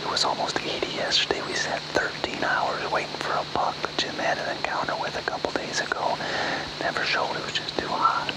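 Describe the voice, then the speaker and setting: A man in his thirties giving a hushed, whispered field update to camera as a bowhunter in the woods. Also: fairly quick, poor audio. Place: outdoors in woods, up in a tree stand.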